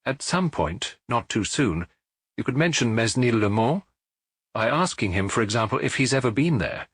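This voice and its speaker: A terse, quietly sure detective played by a male narrator in a detective audiobook. He is evasive and sparing with words, his husky voice lifted a little above the reader's own, in a dry recording. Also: medium pitch, expressive, moderate pace, no echo. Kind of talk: audiobook character dialogue.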